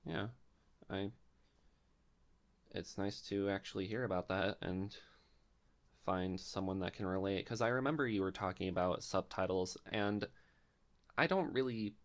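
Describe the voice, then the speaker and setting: A man's voice: deep voice, unhurried, pauses often. A man hosting a casual interview, speaking in an accommodating, slightly hesitant way. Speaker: podcast host.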